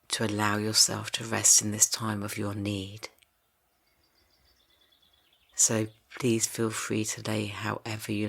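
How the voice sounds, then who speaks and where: Slow, gravelly-voiced soothing man. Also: on the low side. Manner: slow, soft and soothing, drifting into whispers. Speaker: meditation guide. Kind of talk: guided yoga nidra meditation.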